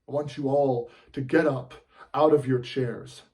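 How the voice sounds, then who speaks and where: A man performs a fed-up male news anchor with a mid-pitched voice in a dramatic movie monologue. The delivery is urgent, impassioned and oratorical, addressed straight to the people. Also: steady tone, a little slow, dark tone, close to an everyday voice. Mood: urgent, angry.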